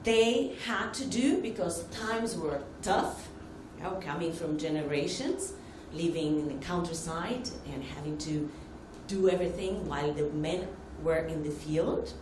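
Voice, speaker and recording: A woman's voice: sits low, expressive, a little slow, hardly any pauses. Brazilian-accented woman speaking in an echoey room. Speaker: conference speaker. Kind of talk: informal personal storytelling in a talk. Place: indoor conference room. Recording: echoey room with noticeable background noise.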